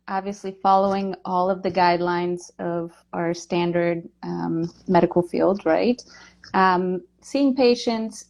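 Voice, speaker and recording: A woman from Poland, a nutritional therapist and nurse, talking in an interview with many pauses and fillers. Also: lowish voice, gravelly. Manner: hesitant, many fillers, soft-spoken. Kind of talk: interview chat.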